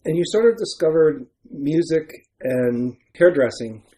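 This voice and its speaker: A man's voice: a little high, animated, unhurried, warm tone. A man hosting an interview in a conversational, prepared style. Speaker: interview host.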